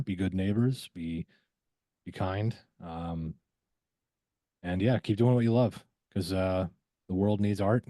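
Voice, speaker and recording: Deep-voiced man chatting casually, crisp upper tones. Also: mellow, no echo. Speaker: podcast guest, voice actor. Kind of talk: casual interview chat.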